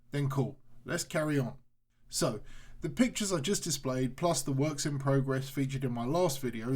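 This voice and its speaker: A man's voice: a little high, darkish tone. A man narrating a hobby showcase video in a casual, frank and blunt way. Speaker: hobby channel host.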